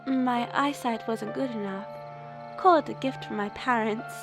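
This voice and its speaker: Woman acting a high-voiced, earnest young female analyst. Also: unhurried, vocal fry, music in the background. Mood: candid, reflective. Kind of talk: audio drama acting.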